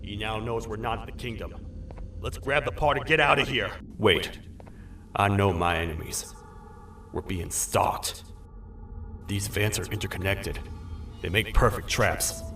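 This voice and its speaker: A man voicing a stern, weary cartoon toad sheriff in a video game, with a grave, formal, deliberate delivery. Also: lowish voice, a bit bright, slight background noise. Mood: grim, watchful. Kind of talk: video game character acting, log narration.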